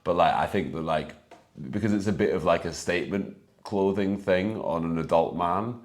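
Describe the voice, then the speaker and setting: A man's voice: low-pitched, normal speed. A man co-hosting a podcast in casual chat, joking and hesitant with many fillers. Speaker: podcast co-host.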